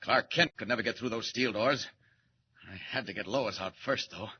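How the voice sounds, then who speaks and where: A man's voice: fairly low pitch, moderate pace, close to an everyday voice. A man playing a mild-mannered reporter with a secret heroic side in a 1940s radio serial, often hesitant and flustered. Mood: determined. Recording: dull old radio transcription with hiss.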